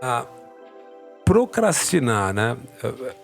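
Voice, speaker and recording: A man's voice: mid-range voice, very animated, drawn-out words, gravelly, faint music behind. A man hosting a podcast, eagerly firing off questions to his guest. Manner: eager, asking many questions. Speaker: podcast host. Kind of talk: podcast interview.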